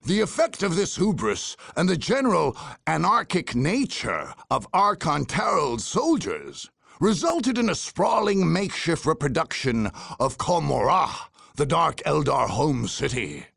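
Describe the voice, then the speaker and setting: Gravelly, dramatic male war chronicler. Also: a little high, big pitch swings, a bit bright, dry recording, clean studio sound, heavily acted. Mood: grave, portentous. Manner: measured, portentous, theatrical delivery. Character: grave storyteller narrator of a dark war chronicle. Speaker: narrator. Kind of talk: dramatic scripted game cutscene narration.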